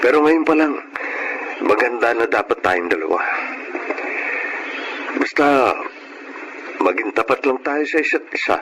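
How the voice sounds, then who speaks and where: A male actor playing a sweet-talking, earnest young boyfriend in a radio drama, with expressive delivery. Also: medium pitch, unhurried, pauses often. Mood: earnest, romantic. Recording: noisy radio drama audio.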